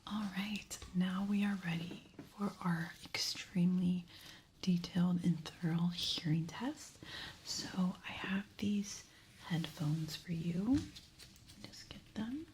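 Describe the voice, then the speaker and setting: Woman, breathy whisper, ASMR medical roleplay, gentle, soothing, slight background noise with clicks. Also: on the low side, takes it slowly, poor audio. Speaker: ASMR host playing a hearing examiner.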